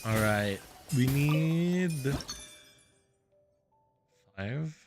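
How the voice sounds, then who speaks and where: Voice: medium pitch, lively intonation, drawn-out words. A game streamer giving casual live commentary, muttering slangy reactions with some swearing. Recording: game music behind the voice.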